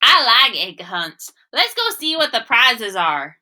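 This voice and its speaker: A boastful boy storybook animal, acted by a woman, pleased with himself and smug. The voice is bright and forward, with words slowly drawn out for effect. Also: on the high side, very animated, pitched above the performer's own voice, full character voice.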